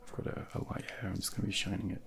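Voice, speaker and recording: A man role-playing a junior doctor in a soft-spoken ASMR exam, half whispering in a gentle, hesitant, reassuring bedside manner on a close binaural microphone. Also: rapid-fire. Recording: close binaural ASMR, faint background noise.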